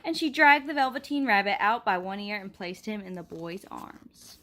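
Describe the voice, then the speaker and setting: A woman reading a picture book aloud in a gentle, expressive way, with a soft laugh now and then. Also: medium pitch, normal speed. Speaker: storybook reader.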